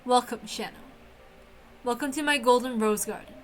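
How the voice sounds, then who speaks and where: A woman's voice: a little high, moderate pace, a little hiss or hum, close to an everyday voice. A woman voicing a mysterious, condescending witch with theatrical, formal speech for a visual novel reading. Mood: gracious, familiar. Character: mysterious golden butterfly witch, condescending, welcoming.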